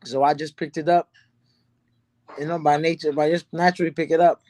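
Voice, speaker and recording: A professional boxer from Barbados answering interview questions in a soft-spoken, halting way, with fillers and repeats. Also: male voice, a little high, level tone, no echo.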